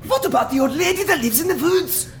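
A woman's voice: very high voice, slight background noise. Hansel, a woman playing a greedy whiny boy. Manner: whiny, mocking, bossy. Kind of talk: comedic audio drama.

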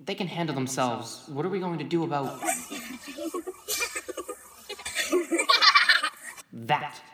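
A man playing a dry, practical watchtower crewmate in an audio drama, understated and deadpan. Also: fairly high pitch, a little fast, reverberant, background noise, lightly acted. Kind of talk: audio drama acting, naturalistic.

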